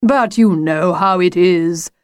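A woman's voice: on the low side, slow, dry recording, clean studio sound. A woman voicing an effusive, fussy male talking wolf in a children's story, grandiose and chummy. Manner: grandiose, fussy, old-fashioned endearments. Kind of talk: children's storybook character reading.

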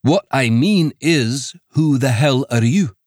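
A man's voice: mid-range voice, animated, slow pace, a little mellow, no echo, studio quality, pitched above the performer's own voice. Man playing a blustering Scottish magistrate, barking, domineering, raised voice. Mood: sly. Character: gruff blustering older male Scottish magistrate.

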